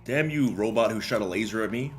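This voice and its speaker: A man's voice: lowish voice, fast talker, faint music behind. A young man doing casual, slangy game commentary and chatting with viewers in a joking, rambling way. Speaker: game streamer. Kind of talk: casual gameplay commentary and chat.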